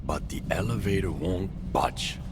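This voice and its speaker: An actor playing a weary, resolute veteran wasteland leader in a video game, gravelly, measured and grave. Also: male voice, low voice, noisy background. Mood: weary, briefing. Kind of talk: video game cutscene acting. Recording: loud music over the voice.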